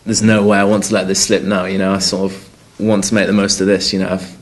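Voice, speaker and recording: Man in his 20s, from North Wales, slightly low voice, hesitant, filler-heavy, press conference answers. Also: level tone, fast. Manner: hesitant, many fillers and restarts. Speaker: rugby player.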